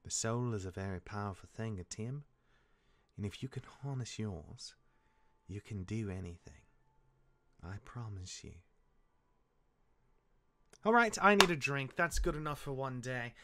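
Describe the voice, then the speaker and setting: A man's voice: medium pitch, animated, measured pace, pauses often, pushed and bright. A man playing a swooping, cocky big-brother god. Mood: storytelling, then casual, hurried. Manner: casual, rambling, teasing. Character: cocky, easygoing older-brother god, teasing reluctant mentor. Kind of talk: fantasy audio roleplay acting.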